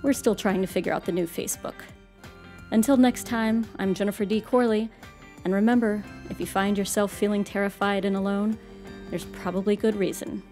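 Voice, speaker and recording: A woman's voice: medium pitch, fairly even intonation, fairly quick, darkish tone. A woman hosting a scripted horror radio show in a wry, tongue-in-cheek macabre manner. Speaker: host and producer. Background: music.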